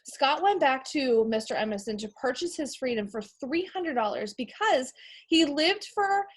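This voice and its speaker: A woman's voice: high voice, expressive, normal speed, husky. An American woman reading aloud to young schoolchildren as a teacher, informal and explanatory, addressing them as friends. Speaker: elementary school teacher reading a picture book to pupils. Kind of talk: read-aloud lesson for young children.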